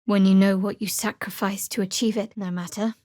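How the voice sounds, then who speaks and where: A woman voicing a guarded, wry young female cleric of a dark goddess in a video game, speaking in a measured, dry way on a close mic. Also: mid-range voice, little pitch movement, no echo, natural voice. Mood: resigned. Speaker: fantasy adventurer companion. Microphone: close, dry studio mic.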